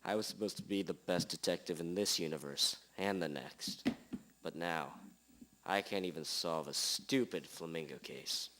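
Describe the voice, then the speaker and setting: A man's voice: sits low, normal speed, fairly bright tone. A man playing a gloomy, washed-up detective with a short temper in an amateur noir radio drama. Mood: dejected.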